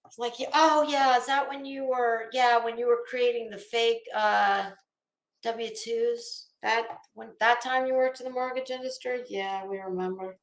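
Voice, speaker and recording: A woman's voice: a little high, steady tone, moderate pace. A woman hosting an online show with casual commentary, blunt, sarcastic and given to swearing. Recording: echoey room.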